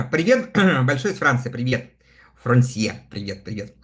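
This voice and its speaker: A man in his thirties hosts a relaxed livestream, reading viewer messages aloud and answering them in a chatty way. His pitch sits in a middle register but swings widely and expressively, and he laughs often between comments. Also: slow pace. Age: 30s. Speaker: live streamer reading viewer chat. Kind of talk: casual livestream chat.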